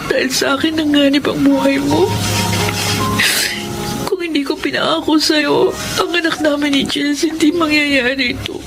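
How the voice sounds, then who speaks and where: A tearful pleading young wife. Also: female voice, high voice, fairly even intonation, normal speed, gravelly, low-quality recording. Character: distraught young wife and mother. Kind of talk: classic radio drama acting. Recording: radio drama with loud music bed.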